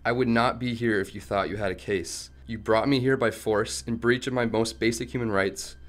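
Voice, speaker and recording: Guy playing captive tech boss in a radio drama, lowish voice, defiant, principled, argues back firmly. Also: steady tone, moderate pace, natural voice. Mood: firm defiance. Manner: firm, argumentative, articulate. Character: defiant, principled male tech company chief held captive. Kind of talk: radio play acting.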